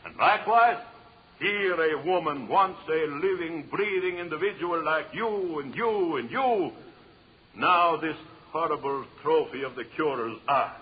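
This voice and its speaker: A high-voiced, husky macabre stage lecturer in vintage radio drama. His grandiose oratory swoops widely in pitch. The old recording sounds dull. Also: unhurried. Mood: grand, dramatic. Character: showman lecturer unveiling macabre jungle specimens.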